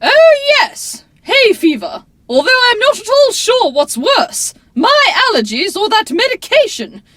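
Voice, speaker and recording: A prim older lady of a manor for a video game. Her voice is very high and swoops expressively. She is formal, theatrical and fussy. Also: big pitch swings, measured pace, fairly bright tone, no echo, clean studio sound, full character voice. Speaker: voice actress. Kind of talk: game character dialogue.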